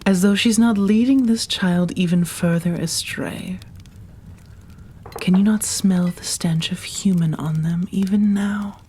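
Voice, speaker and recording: A woman playing a cold, imperious ancient female vampire elder in an ASMR roleplay, speaking formally and with measured command. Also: on the low side, dark tone, slight background noise, lightly acted. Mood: scornful.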